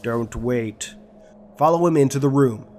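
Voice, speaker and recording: A man's voice: medium pitch, a little slow, darkish tone, soft background music. A man presenting a video as its host, reading scripted explanatory narration. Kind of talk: scripted guide and lore narration.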